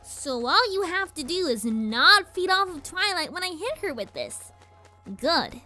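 Very squeaky scheming little pony girl. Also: very animated, a little slow, pushed and bright, exaggerated voice. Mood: scheming, cocky. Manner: perky, falsely innocent. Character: scheming young girl pony villain, cheery and cocky. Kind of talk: animated-series fan fiction audio drama. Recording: music under the voice.

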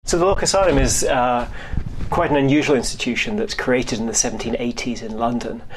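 A man, a history professor, explaining informally in an interview with halting, hesitant phrasing. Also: mid-range voice, slight background noise, poor audio.

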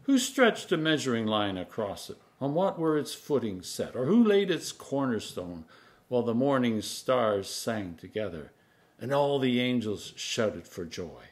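A man in his sixties, an Anglican priest, reading scripture aloud in a measured, solemn, emphatic manner. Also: medium pitch, big pitch swings. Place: home kitchen, indoors.

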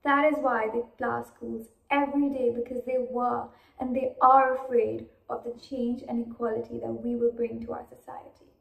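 A young woman reciting a speech in a deliberate, declamatory way in an echoey room. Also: a little high, little pitch movement, creaky, dull audio. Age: teenage to young adult. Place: indoor room. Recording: roomy echo.

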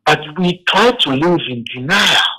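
A man from Ghana, calling in to a radio show by phone and telling his story haltingly, with restarts. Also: a little high, lively intonation, bright, forceful tone, low-quality recording. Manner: heavy, halting account with restarts. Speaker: caller.

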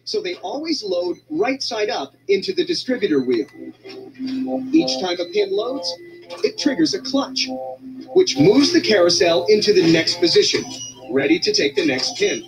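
A man narrating a televised documentary segment in a measured, smooth delivery with sweeping rises in tone. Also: sits high, big pitch swings, low-quality recording. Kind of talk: scripted narration. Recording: music playing under the voice.

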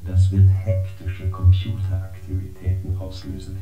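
An actor playing a formal, analytical artificial intelligence in a science-fiction radio play, speaking in a measured, matter-of-fact way. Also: male voice, deep voice, completely flat delivery. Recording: dull, roomy sound with loud guitar music.

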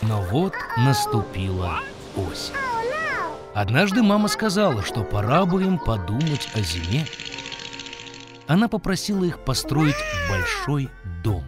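A storyteller narrating a scripted fairy tale for children in an expressive, dramatic manner. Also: mid-range voice, unhurried. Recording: music behind the voice. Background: music.

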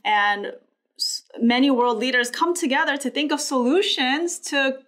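Quick, animated woman hosting a podcast. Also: sits high. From Korea. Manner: quick, animated, lots of "like" and "you know". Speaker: podcast host. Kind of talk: casual podcast chat. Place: small bright studio room, indoors. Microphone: desk microphone.

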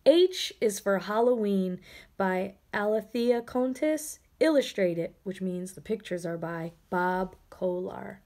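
A woman reading a children's picture book aloud with expressive, dramatic emphasis. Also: mid-range voice, slow pace. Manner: expressive, putting on playful voices and dramatic emphasis. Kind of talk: animated storybook read-aloud for children.

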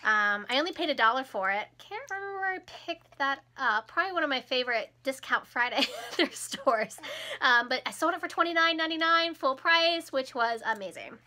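A chatty woman in her thirties speaks close to the camera in a small room at home. Her voice sits high and rings brightly, with a slight froggy edge from a cold, and she laughs easily as she talks. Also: expressive, brisk. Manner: chatty and upbeat, laughs often. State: recovering from a cold, a bit hoarse. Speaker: resale vlogger. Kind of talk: casual chat.

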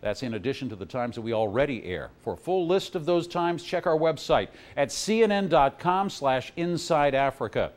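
A man presenting a television news feature in a measured, formal delivery. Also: a little high, expressive. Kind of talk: scripted news narration.

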